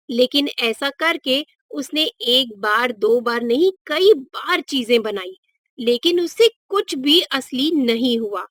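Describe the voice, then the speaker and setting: A woman's voice: high-pitched, a bit bright, dry recording, studio quality. A woman narrating a scripted moral story for children as a storyteller.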